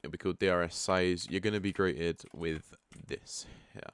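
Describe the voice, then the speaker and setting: A man with a croaky, just-woken-up voice giving a relaxed, chatty walkthrough of a software setup. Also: low voice, normal speed, dry recording. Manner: relaxed and chatty, frank asides. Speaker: product developer giving a setup tutorial. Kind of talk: casual screen-share walkthrough.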